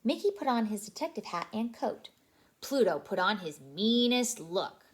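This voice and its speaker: A woman reading a story aloud to young children as a childcare storyteller, expressive and animated. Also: medium pitch, normal speed. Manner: animated, asks listeners questions.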